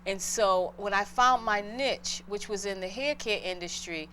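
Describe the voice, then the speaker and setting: A middle-aged woman from Boston speaks as an interview guest, talking earnestly and from the heart about her own path. Her voice sits at an ordinary pitch for a woman. A faint noise hums underneath. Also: unhurried, bright, forceful tone, poor audio. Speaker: guest entrepreneur. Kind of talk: interview, personal story.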